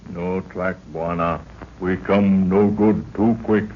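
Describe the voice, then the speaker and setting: Slow-spoken, low-voiced African tracker. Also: male voice, steady tone. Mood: grave, terse. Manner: broken pidgin English with African-language phrases. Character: loyal African native guide and tracker, terse. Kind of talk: 1930s radio acting. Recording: scratchy, muffled 1930s radio recording.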